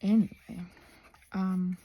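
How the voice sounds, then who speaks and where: A woman chatting casually and playfully while crafting, often interrupting herself with trailing asides, her voice breathy and often dropping to a near-whisper. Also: medium pitch, flat delivery, takes it slowly, warm tone. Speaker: craft and ASMR video creator. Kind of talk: casual rambling vlog chat while crafting.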